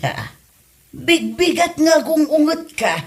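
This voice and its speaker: A woman acts out a long-suffering old wife in a comedy audio drama. She nags in an Ilocano accent, with very animated pitch and an exasperated, scolding manner. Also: slow pace, full character voice. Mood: nagging.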